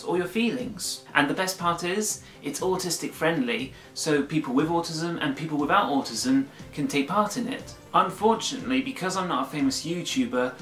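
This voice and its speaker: A man vlogging to his viewers, earnest and emphatic, his voice still slightly croaky after flu. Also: on the high side, bright, forceful tone, roomy sound. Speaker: YouTuber. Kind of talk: casual vlog monologue.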